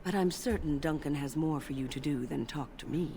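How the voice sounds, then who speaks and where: An actress voicing a calm, wise older woman mage who explains things in an unhurried, gently lecturing way. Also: low-pitched, background noise. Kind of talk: video game voice acting.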